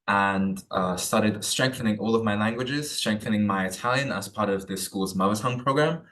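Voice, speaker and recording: A teenage boy giving a student research presentation over a video call, hesitant with many pauses and fillers. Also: lowish voice, a bit bright, recorded from a distance. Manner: hesitant, many ums and uhs. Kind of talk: presentation talk.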